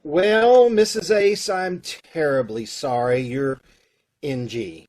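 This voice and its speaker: A man's voice: on the high side, takes it slowly, darkish tone. A man playing a fussy bank loan officer.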